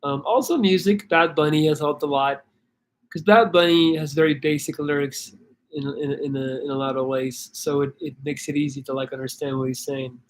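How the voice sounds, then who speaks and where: A man from Belgium talks in a loose, rambling way as he answers viewers, full of "like" and "man" and quick restarts. His pitch sits a little higher than usual for a man. A faint noise runs under the recording. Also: steady tone. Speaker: livestream host answering viewer questions. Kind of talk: casual chat reading comments.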